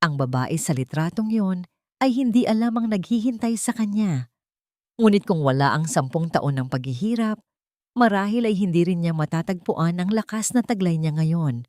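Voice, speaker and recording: Female story narrator, lowish register, solemn measured phrasing, dry studio-like sound. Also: animated, a little mellow, no room sound. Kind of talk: scripted dramatic story narration.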